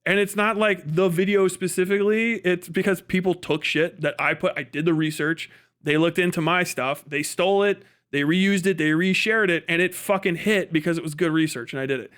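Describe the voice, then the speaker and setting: High-pitched man ranting, profane and exasperated. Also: fairly quick, fairly bright tone, clear, resonant tone. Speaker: livestream commentator reacting to clips. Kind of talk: casual unscripted political rant.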